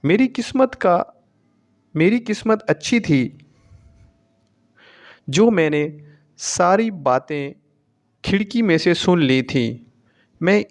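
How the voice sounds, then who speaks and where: A man reading a scripted, emotional first-person story as a narrator. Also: sits high.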